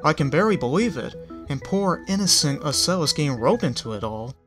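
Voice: medium pitch, expressive, brisk, music in the background, close to an everyday voice. A reader voicing an easygoing young male pony who speaks mildly.

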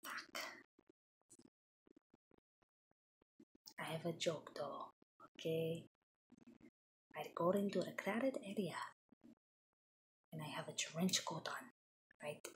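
A woman's voice: low voice, slow, pauses often, slight background noise. A woman putting on a boastful, crude foreign entertainer persona with a put-on foreign accent, rambling and slipping into half-whispers in an improvised comedy monologue.

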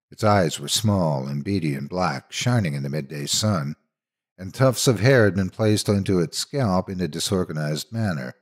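A man narrating a scripted horror story in a dramatic, measured, suspenseful manner. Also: sits low.

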